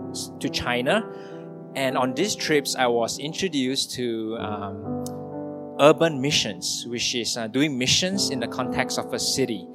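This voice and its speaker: Male. Church testimony, measured and halting, average pitch, guitar plainly audible underneath. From Singapore. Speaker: church member giving testimony. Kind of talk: personal testimony to a congregation. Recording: guitar music playing behind speech. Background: guitar music.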